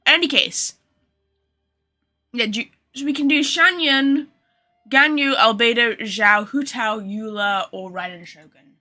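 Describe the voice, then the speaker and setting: A woman with a bright, fairly high voice streams a game, with music playing behind her. She chats informally to her viewers and thinks out loud as she goes. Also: a little slow. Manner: chatty, informal, thinks aloud. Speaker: game livestreamer. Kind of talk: casual livestream chat with viewers.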